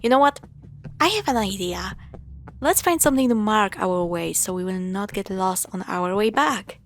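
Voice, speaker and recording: A woman playing a caring, playful young girlfriend in a spooky audio roleplay, talking straight to her partner in halting conversational phrases with sighs. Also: medium pitch, expressive, slight background noise, lightly acted. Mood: playful, reassuring. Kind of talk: spoken roleplay to the listener.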